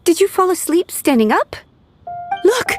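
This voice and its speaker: An overworked young secretary drawls her words very slowly in a very high voice. She sounds worn out by endless work. Faint game music runs behind. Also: big pitch swings, heavily acted. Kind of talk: video game voice acting.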